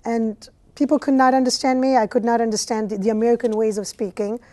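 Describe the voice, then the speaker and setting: A woman's voice: fairly high pitch, level tone, fast talker, mellow. A woman from Italy with an Italian accent, a language teacher chatting as an interview guest, with mm-hmms as she tells stories. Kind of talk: casual interview conversation. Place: cable TV studio.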